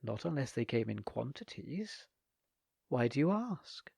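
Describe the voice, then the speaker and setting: A calm, teasing lady traveller, unflustered and faintly mocking, as a male reader voices her. The delivery is measured and lively in its turns. The sound is dry and close. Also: mid-range voice, creaky, slightly rough, no echo, full character voice. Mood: cool amused. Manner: cool, dryly amused. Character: composed, wry woman train passenger.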